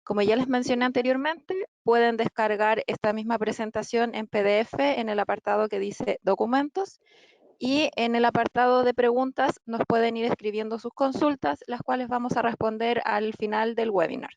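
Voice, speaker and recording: A woman's voice: fairly high pitch, fairly even intonation, moderate pace, vocal fry, no echo. Polite woman hosting a webinar. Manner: polite and welcoming, with brief fillers. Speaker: webinar host. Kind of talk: formal webinar introduction. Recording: dry, muffled lo-fi webinar audio.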